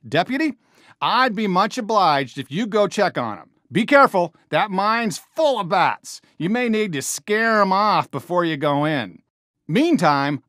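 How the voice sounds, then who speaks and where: Casting: friendly, excitable cartoon cowboy sheriff for a game, American, folksy drawl, bright and forceful, swooping pitch. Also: male voice, dry recording, studio quality, full character voice. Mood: concerned, earnest. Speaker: voice actor in a video game. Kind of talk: animated game character voice lines.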